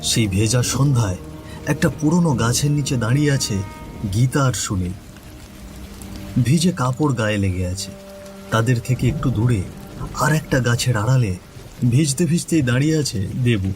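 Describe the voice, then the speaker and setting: A man giving slow, somber dramatic narration for a horror cartoon. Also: medium pitch, lively intonation, over music.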